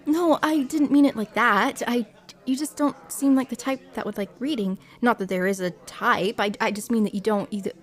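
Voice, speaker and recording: A woman's voice: a little high, moderate pace, natural voice. A young woman playing a shy, apologetic female hospital volunteer in an audio drama, with a hesitant manner. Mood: flustered, shy. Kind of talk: audio drama acting with reflective first-person narration.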